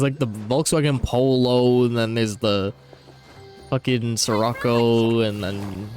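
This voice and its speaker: Young male streamer, lively pitch swings, slangy, profane, casual commentary, music behind. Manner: slangy, profane, muttering asides. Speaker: game streamer.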